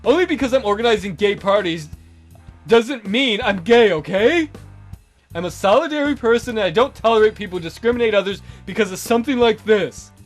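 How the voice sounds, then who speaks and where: A man puts on a high-pitched, defensive nightclub bro for a visual novel. The voice is jittery and indignant, hesitating and then pushing words out with heavy emphasis. It swings widely in pitch, with music behind it. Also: expressive, brisk. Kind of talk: voicing visual novel character dialogue.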